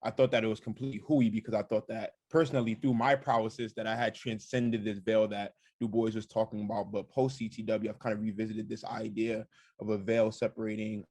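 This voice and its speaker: Young man, lowish voice, flat delivery, dry sound, thoughtful. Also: dry recording. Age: young adult. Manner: deliberate, thoughtful, hesitant fillers. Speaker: program alumnus. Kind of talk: reflective personal testimony.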